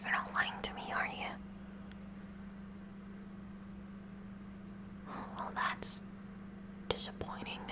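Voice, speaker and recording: A woman playing a kooky, curious high school science club president in a whispered ASMR roleplay, softly and hesitantly chatty. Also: normal speed, lightly acted. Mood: mildly let down. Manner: soft, hesitant, inquisitive, chatty.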